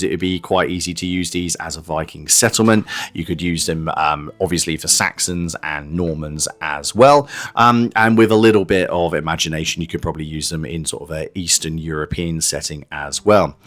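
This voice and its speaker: A man with a low-pitched voice presents a hobby review. He speaks informally and explains things step by step, addressing viewers directly as a channel host would. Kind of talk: casual hands-on review narration.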